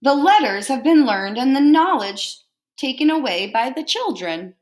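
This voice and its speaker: A woman teacher reading a picture book aloud to children, expressively and deliberately, pausing to put simple questions to them. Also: high-pitched, big pitch swings. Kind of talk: storybook read-aloud with questions to young listeners.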